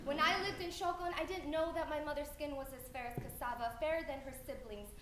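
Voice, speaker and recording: A young woman performing a spoken word poem live, earnest and measured, trading lines with a partner. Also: high-pitched, background noise. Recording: echoey room heard from afar.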